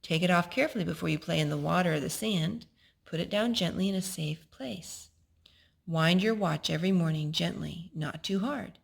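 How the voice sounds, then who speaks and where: A woman's voice: on the low side, moderate pace, gravelly, slightly rough, exaggerated voice. A woman voicing a patient father who instructs his young son in a children's storybook. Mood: patient, instructive.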